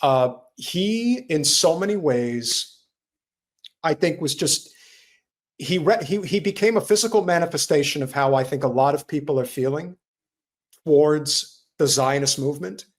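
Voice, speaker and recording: A man talking in a casual podcast chat, earnest and halting, often restarting his sentences. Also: a little high, animated. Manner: earnest, halting, repeats himself.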